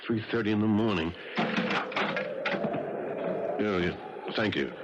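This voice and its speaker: A man's voice: on the low side, slow pace. An actor playing a protective, flustered husband travelling by ship in 1970s radio drama, stammering and repeating his words. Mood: puzzled. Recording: muffled, very noisy vintage radio broadcast.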